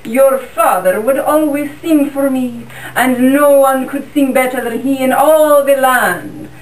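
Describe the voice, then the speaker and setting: A young woman voicing a cunning, smooth-talking male fox in a class puppet show, speaking in a flattering, honeyed way. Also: high voice, measured pace. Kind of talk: school puppet show acting. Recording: roomy sound from a distant mic.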